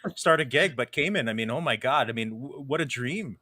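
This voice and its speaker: A man's voice: medium pitch, expressive, a little fast, a crowd in the background. A man hosting a casual podcast interview, enthusiastic and often restarting his words. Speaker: podcast host.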